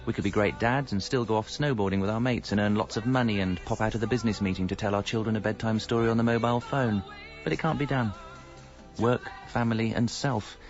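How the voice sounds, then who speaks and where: An actor as a low-voiced, rueful British family man. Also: steady tone, quick pace, lightly acted. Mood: rueful, confessional. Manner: rueful, confessional, wry. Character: guilt-ridden married father narrating his double life. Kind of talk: scripted first-person narration and acting in radio comedy drama. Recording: dull, muffled audio with music.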